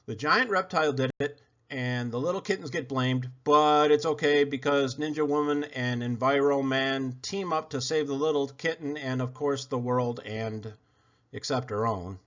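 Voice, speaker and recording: A man's voice: medium pitch, moderate pace, a bit bright, lo-fi sound, natural voice. A man voicing a blunt, talkative female pet dog who rambles on in a children's storybook. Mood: blunt, chatty. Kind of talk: children's storybook read-aloud.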